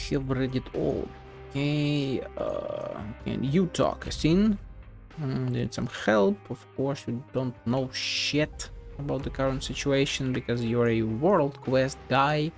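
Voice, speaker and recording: A man's voice: medium pitch, gravelly. A man giving casual, blunt gameplay commentary, muttering to himself, swearing and reading game text aloud. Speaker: game streamer. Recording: game music behind the voice.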